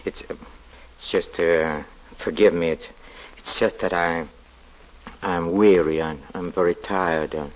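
A man's voice: low-pitched, fairly even intonation, unhurried. An actor playing a weary, zealous male lodger who stammers and turns stern, in old-time radio drama acting. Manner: hesitant, stammering, then sharply stern. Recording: dull old radio recording.

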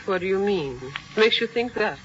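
A woman's voice: medium pitch, lively intonation, moderate pace, a bit bright, natural voice. An actress playing a guarded widow with a German accent who is hiding her past, in a 1940s radio drama style. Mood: wary. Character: guarded German widow passing as an American's wife. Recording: muffled old radio broadcast with faint hiss.

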